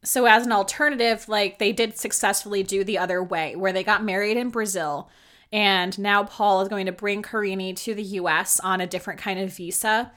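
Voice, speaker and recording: A woman's voice: medium pitch, fairly even intonation, normal speed, a bit bright. A young woman hosting a podcast, recapping a reality show in casual, sarcastic chat, full of filler words and swearing. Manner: chatty, sarcastic, lots of filler likes and swearing. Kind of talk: casual solo commentary.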